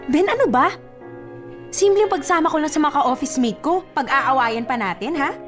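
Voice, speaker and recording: A woman's voice: high-pitched, lively intonation, normal speed, a bit bright. A woman playing a fed-up, defiant young girlfriend in a radio drama, dismissive and exasperated as she argues. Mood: exasperated, angry. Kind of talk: Filipino radio drama acting. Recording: music bed under the voice.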